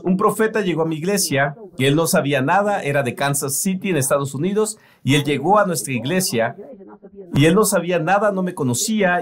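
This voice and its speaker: Earnest higher-voiced male preacher. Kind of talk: sermon voice-over translation.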